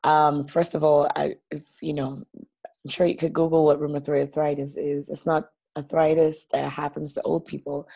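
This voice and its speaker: A woman's voice: sits low, flat delivery, moderate pace, gravelly, mellow, breathy edge. A woman in her thirties from Nigeria, a guest on a video call from her living room, telling her story openly in a chatty way with small laughs. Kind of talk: casual video-call interview. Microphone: laptop webcam on a video call.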